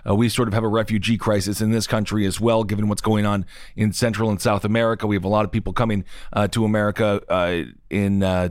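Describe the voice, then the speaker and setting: A man's voice: on the low side, no room sound, clean studio sound. Chill guy hosting a talk, cracks jokes, long rambly questions. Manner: easygoing, joking, asks long questions. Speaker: host. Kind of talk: casual interview talk.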